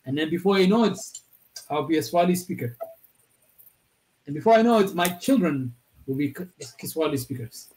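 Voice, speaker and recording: A man's voice: fairly high pitch, animated, brisk, long pauses, darkish tone, poor audio. A man from Ethiopia with an Ethiopian accent, Amharic his first language, telling a story in a loose, rambling way over a video call. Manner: rambling, restarting phrases. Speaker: panel speaker. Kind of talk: casual storytelling in a discussion.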